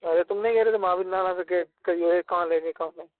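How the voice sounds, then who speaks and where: A man's voice: high voice, steady tone, fast. A young man, defending himself in a family argument over a recorded telephone call. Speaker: son-in-law on a phone call. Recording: tinny recorded phone call.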